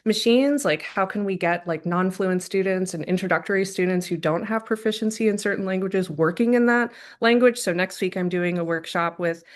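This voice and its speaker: Woman presenter, casual and chatty, mid pitch, webinar call. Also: a little fast, hardly any pauses. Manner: casual, filler-heavy with many likes and ums. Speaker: learning lab staff presenting. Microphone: video call.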